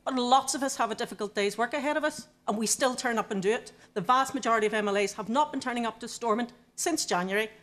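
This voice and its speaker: A woman's voice: fairly high pitch, quick pace, hardly any pauses, fairly bright tone. Insistent Northern Irish woman in audience. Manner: exasperated, insistent. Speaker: audience member. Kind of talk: televised debate comment. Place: television studio audience.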